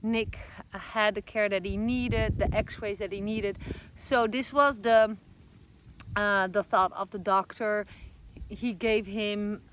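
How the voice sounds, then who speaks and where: A woman's voice: medium pitch, fairly even intonation, slow pace, a bit bright, slight background noise, low-quality recording. A middle-aged woman from the Netherlands with a Dutch accent, chatting casually to camera outdoors, with many fillers and self-corrections. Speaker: vlogger. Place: outdoors, garden with trees being planted.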